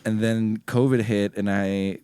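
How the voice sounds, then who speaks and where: A man's voice: fairly low pitch, fairly even intonation, measured pace, dark tone, clean tone, no room sound. A man from Ecuador chatting casually as a podcast guest, often repeating and rephrasing his words, close on a studio microphone. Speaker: podcast guest, music producer. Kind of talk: casual chat. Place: small indoor podcast studio. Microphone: close studio microphone on a stand.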